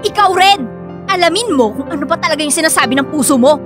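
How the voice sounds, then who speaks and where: High-voiced, clingy, confrontational mistress. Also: female voice, expressive, quick pace, over music. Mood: hurt, accusing. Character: clingy, confrontational young mistress demanding commitment. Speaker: radio drama actress. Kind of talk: emotional Filipino radio drama acting.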